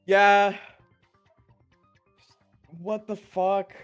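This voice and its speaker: A man's voice: high voice, little pitch movement, extremely slow, fairly bright tone, clear, resonant tone, faint music behind. Incredulous man in casual stream banter. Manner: incredulous, blunt, swearing. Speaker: streamer's co-commentator. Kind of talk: casual game livestream chat.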